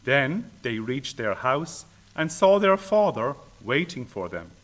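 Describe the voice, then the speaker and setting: A man reading a children's fairy tale aloud in an expressive, deliberate, theatrical way. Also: medium pitch.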